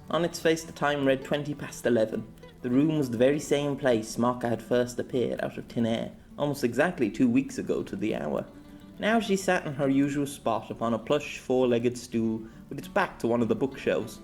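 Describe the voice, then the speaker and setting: A man's voice: mid-range voice, a little mellow. A man reading scripted story narration as an amateur audiobook narrator.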